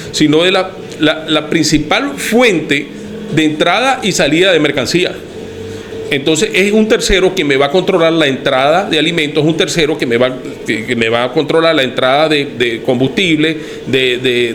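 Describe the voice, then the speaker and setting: A man's voice: a little high, fairly bright tone, slight background noise. A Venezuelan man talking earnestly on a podcast, thinking aloud and restarting his phrases. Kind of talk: casual podcast discussion.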